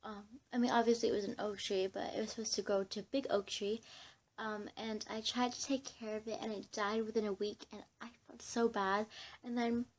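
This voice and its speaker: A woman's voice: mid-range voice, level tone, a little fast. A young woman chatting casually to camera as a vlogger, in a scattered, giggly way, often interrupting herself. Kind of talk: casual rambling chat to camera.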